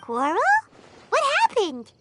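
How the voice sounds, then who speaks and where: A woman voicing a tiny, curious, chirpy fairy companion in a video game, with a squeaky childlike voice. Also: huge pitch swings, slow, faint music behind, exaggerated voice. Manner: refers to self in third person, inquisitive. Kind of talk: video game character dialogue.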